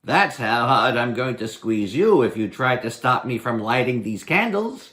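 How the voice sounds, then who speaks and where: A man's voice: medium pitch, sing-song, a little slow, a bit bright. A man playing a bold, wry, unafraid trickster hero in a storybook reading. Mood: taunting.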